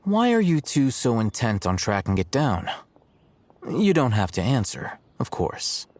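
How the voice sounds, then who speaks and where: A man's voice: mid-range voice, big pitch swings, a little slow, darkish tone, no echo. A man voicing a cool, self-assured, aloof young male scholar in a video game, composed and matter-of-fact. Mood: calm, assured. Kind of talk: video game character dialogue.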